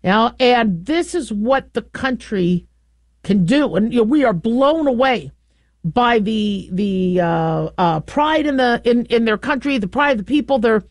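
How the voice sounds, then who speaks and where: A woman's voice: on the high side, animated, measured pace, no room sound, clean studio sound. A woman hosting a call-in radio talk show, talking in a loose, rambling way with many restarts and fillers.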